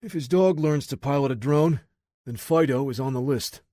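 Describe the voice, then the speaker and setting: Brief: tough field agent, clipped and wry, lifted a little in pitch, read by a male narrator, dry sound. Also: normal speed, dark tone, no room sound, natural voice.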